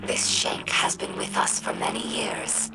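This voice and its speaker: A woman voicing an earnest young alien scribe in a video game log, speaking gently and carefully in a whispery, creaky voice. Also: medium pitch, unhurried, heavy background noise. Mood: fond, reflective. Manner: gentle, careful, storytelling delivery. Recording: voice over game music.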